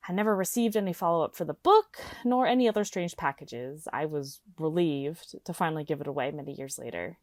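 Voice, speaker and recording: A woman playing an earnest radio caller who tells an eerie personal story in a deliberate, dramatic way. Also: sits low, darkish tone, dry recording, clean studio sound, close to an everyday voice. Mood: uneasy, suspenseful. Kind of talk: scripted first-person storytelling.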